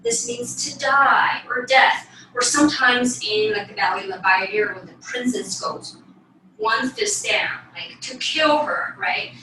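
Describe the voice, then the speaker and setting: Young female dance instructor, casual explanatory delivery, bright voice, very echoey room recording. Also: a little high, very animated, measured pace. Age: young adult. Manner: casual explaining with frequent check-in "right?". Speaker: ballet teacher and host. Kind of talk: instructional demonstration, explaining to camera. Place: small bedroom, indoors. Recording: echoey room, distant mic, faint noise.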